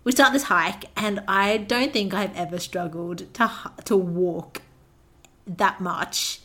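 A woman's voice: medium pitch, slow pace, pushed and bright. Young woman chatting casually on a podcast. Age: young adult. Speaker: podcast host. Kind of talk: casual chat, telling a travel anecdote.

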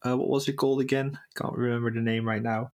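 Guy, normal pitch, laid back, lots of uhs, consultant guest. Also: quick pace. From Netherlands. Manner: relaxed, with many fillers and self-corrections. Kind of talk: casual interview answers.

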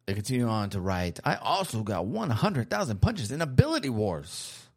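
Guy cohosting a podcast, gravelly voice that swoops way up and down, upbeat, says stuff twice. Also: fairly low pitch, sing-song, normal speed. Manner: upbeat, repeats phrases. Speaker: podcast co-host. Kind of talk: casual chat.

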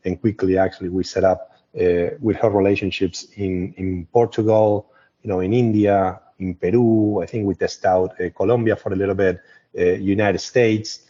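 A man with an Argentine Spanish accent talks in a low-pitched, mellow and husky voice. He explains things conversationally as an interview guest, in a discursive way. The audio is somewhat dull and muffled. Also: level tone, moderate pace. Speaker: company co-founder, interview guest.

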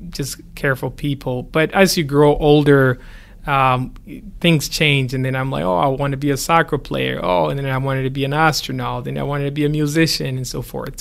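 A man you'd hear as the expert guest on a podcast, answering in an even-pitched conversational voice. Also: creaky. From Ghana. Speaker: neurosurgeon, interview guest.

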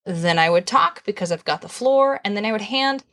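A woman's voice: mid-range voice, lively intonation, moderate pace, fairly bright tone. A woman co-hosting a relationship advice talk with her husband, chatty and playful, using lots of fillers. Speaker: podcast co-host. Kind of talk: casual conversational advice.

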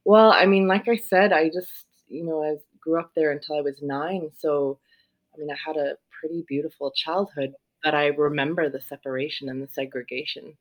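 A middle-aged woman from South Africa, a social worker and yoga facilitator, speaking reflectively as an interview guest with frequent pauses. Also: low voice, steady tone, dull audio. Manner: thoughtful, searching, many pauses and ums.

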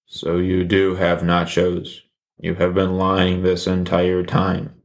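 A man voicing a deadpan, literal-minded killer who talks in a flat, robotic monotone. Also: low voice, slow. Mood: flat menace.

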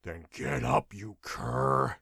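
A man's voice: low voice, animated, slow, gravelly, sharp tone, slightly rough, dry recording, clean studio sound, pitched below the performer's own voice, heavily acted. A man voicing a brutal, self-important male guardsman in an audiobook, growling and domineering. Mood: contemptuous. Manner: bellowing, domineering.

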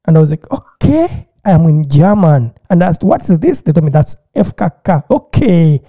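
A man, chatting casually as a podcast co-host and telling stories in an animated way, often repeating words. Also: fairly high pitch, normal speed. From Uganda. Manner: animated storyteller, repeats words, acts out his own reactions. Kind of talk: casual chat. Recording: tinny phone-like line.

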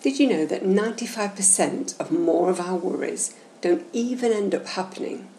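Mellow, creaky-voiced woman guiding a meditation. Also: lowish voice. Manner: gentle, measured, instructional. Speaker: guided meditation host. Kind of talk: slow, soothing guided meditation narration. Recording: roomy echo, faint background noise.

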